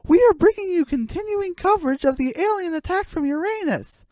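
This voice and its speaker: A woman's voice: very high voice, animated, brisk, darkish tone, dry recording, clean studio sound, voice pushed up. A woman voicing a female chicken radio news anchor with a grave, theatrical delivery, in a mock broadcast. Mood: announcing.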